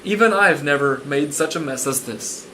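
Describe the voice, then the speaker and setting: A man's voice: fairly high pitch, lively intonation, moderate pace, sharp tone, slight background noise, poor audio. A man voicing an admiring mischievous boy.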